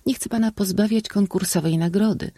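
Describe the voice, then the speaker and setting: A woman's voice: medium pitch, brisk, dark tone, slightly rough, dry recording, studio quality, pitched above the performer's own voice, lightly acted. A man voicing a coy, reserved young princess in an audiobook story. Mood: coy, flustered.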